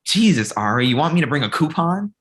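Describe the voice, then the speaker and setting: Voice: mid-range voice, sing-song, brisk, close to an everyday voice. An actor reading the part of a blunt, exasperated male talent manager in a comedy table read.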